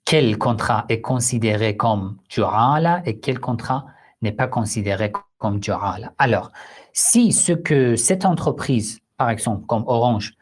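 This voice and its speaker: Slow, deliberate man, hosting an online religious Q&A; patient explainer, hesitant fillers. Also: medium pitch, slightly rough. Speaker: Islamic Q&A host. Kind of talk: live online Q&A answering listeners.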